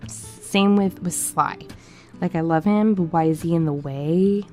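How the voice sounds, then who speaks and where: A woman's voice: fairly low pitch, unhurried, darkish tone. A woman recording a casual, chatty gaming voiceover while sick with a cough, her voice a little cracked. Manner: chatty, informal, conversational. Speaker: gaming video creator. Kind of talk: casual voiceover commentary on a game build. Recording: music behind the voice. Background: background music.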